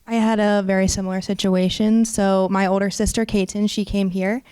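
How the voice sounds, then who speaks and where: A woman's voice: mid-range voice, little pitch movement, normal speed, warm tone, clear, resonant tone, dry recording. A woman in her early twenties from Ohio with an American accent, speaking informally as a student panelist. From Ohio, United States. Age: early 20s.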